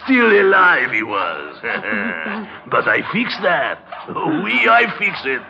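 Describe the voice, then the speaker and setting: A muffled old radio horror recording of a mad old Frenchman. His gravelly voice wheedles and leers in slow, drawn-out phrases, swooping from a low murmur to a gleeful croon. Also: wildly swooping pitch, very noisy, heavily acted. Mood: gloating, instructing. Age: older. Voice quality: raspy, croaking. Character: mad, gleeful old Frenchman who carves human bones in sewers.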